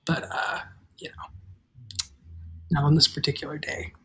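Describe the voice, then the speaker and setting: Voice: medium pitch, steady tone, a little slow, a bit bright. Wry, casual livestream host. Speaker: host and creator of an audio drama. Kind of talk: casual livestream chat with cast.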